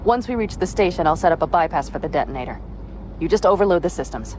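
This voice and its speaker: A tough young mercenary woman voiced by an actress in a game cutscene. She speaks in a lowish register, practical and brisk with a dry edge. Clear engine and ambient noise runs behind her. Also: expressive, a little mellow, natural voice. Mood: focused, wry. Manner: brisk, practical, wry, casually foul-mouthed. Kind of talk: video game cutscene dialogue, naturalistic.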